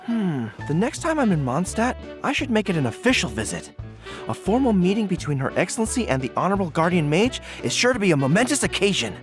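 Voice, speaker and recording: A man's voice: high voice, very animated, fast talker, over music. A man voicing a polite, earnest young military commander in a video game, formal and courteous. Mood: formal, eager. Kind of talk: English video game voice acting.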